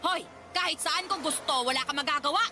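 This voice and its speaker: A woman's voice: high-pitched, brisk, loud music behind the voice, close to an everyday voice. An actress playing a fiercely protective, hot-tempered mother and grandmother in a Filipino radio drama. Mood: furious, confrontational. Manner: scolding and emphatic when roused, tender with her child. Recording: noisy background ambience.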